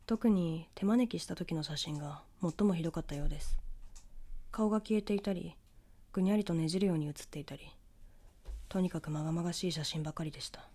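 A woman reading a scripted horror story in the first person, with measured storytelling delivery. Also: on the low side, warm tone. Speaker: ghost story narrator.

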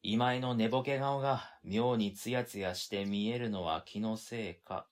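A man's voice: on the low side, moderate pace, lightly acted. A man playing an exasperated, long-suffering young man who narrates his own story in an audio drama. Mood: weary, exasperated. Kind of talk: first-person scripted narration in audio drama.

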